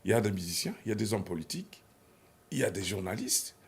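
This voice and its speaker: A man's voice: on the low side, big pitch swings, quick pace. A man hosting a TV talk show, chiming in often with short agreements and closing the segment. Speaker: TV host.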